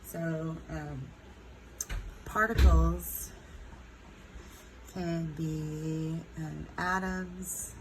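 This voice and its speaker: A congested woman with a low voice and a stuffed-up nose walks through a chemistry lesson. She talks slowly, stretching words and filling pauses with ums. The recording carries a steady noisy hiss behind her. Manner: unhurried, many ums, drawn-out words. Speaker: chemistry teacher.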